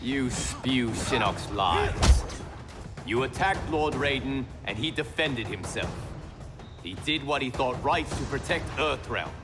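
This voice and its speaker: An actor playing a loyal young warrior in a video game, speaking firmly and earnestly. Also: male voice, medium pitch, animated, slow. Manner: firm, earnest. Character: earnest, loyal young warrior monk defending his mentor. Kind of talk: video game cutscene acting. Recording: music behind.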